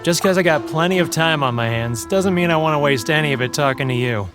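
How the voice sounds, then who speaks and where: An actor playing a theatrical, guilt-ridden and homesick foreign merchant in a video game. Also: male voice, fairly high pitch, fast, music in the background, close to an everyday voice. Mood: irritated, suspicious. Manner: dramatic, wistful, full of sighs. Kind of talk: video game character acting.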